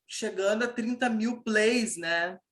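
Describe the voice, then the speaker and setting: A Brazilian man hosts a relaxed, informal interview with plenty of slang. His voice is bright and sharp-edged. The room around him rings with noticeable echo. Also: high voice, takes it slowly. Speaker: podcast host, interviewer. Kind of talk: casual interview chat. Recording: echoey room.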